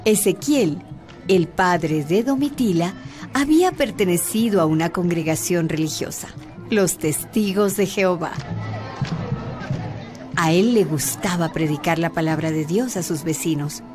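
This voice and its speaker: Brief: female narrator, calm scripted reading, clear music underneath. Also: fairly low pitch, measured pace.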